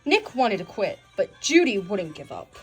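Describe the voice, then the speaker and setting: A woman reading a children's storybook aloud in an expressive storytelling style, as narrator. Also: mid-range voice, normal speed, breathy edge, soft background music. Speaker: storybook reader.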